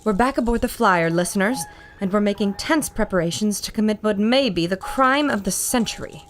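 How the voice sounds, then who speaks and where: A woman playing a brisk, earnest radio reporter and narrator with an American accent, in old radio serial style. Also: mid-range voice, slight background noise, natural voice. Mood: dramatic narration. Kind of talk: 1940s radio serial acting.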